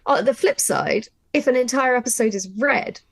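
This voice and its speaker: A woman presenting an informal writing workshop, talking through her method in an animated way and thinking aloud. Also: fairly high pitch, normal speed, creaky, dry recording. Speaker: audio drama writer and workshop presenter.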